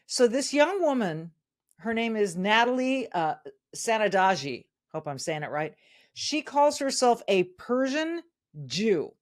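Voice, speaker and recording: A woman's voice: fairly high pitch, sing-song, unhurried. A woman hosting a commentary podcast in a conversational tone, cueing her producer between clips. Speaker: podcast host. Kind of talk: casual commentary.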